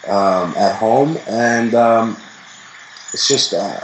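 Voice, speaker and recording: A young man from the Bronx, chatting casually as an interview guest, relaxed and rambling with many fillers. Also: on the low side, extremely slow, roomy sound, a little hiss or hum, low-quality recording. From the Bronx, New York.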